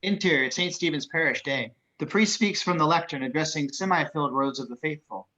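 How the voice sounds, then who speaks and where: A man's voice: fairly high pitch, brisk, bright, forceful tone, dull audio. A man narrating screenplay action descriptions as scripted narration. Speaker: narrator of stage directions.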